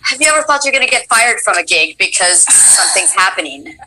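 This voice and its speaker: A woman with a low voice hosting a casual chat as a voice actor, animated and acting out what directors said. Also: brisk, background noise. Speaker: host and voice actor.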